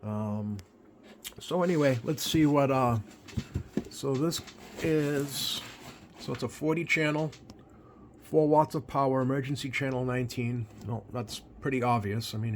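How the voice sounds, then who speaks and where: An American man giving a casual, unscripted product walkthrough as a hobbyist, speaking in a hesitant, halting way with drawn-out pauses. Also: mid-range voice. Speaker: radio hobbyist presenting a video. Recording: clear steady background noise.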